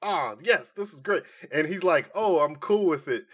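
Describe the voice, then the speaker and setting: A man co-hosting a podcast in casual chat, informal and often halting as he thinks aloud. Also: on the high side, lively intonation, a bit bright, slightly rough. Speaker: podcast co-host. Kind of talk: casual chat, pitching comic movie ideas.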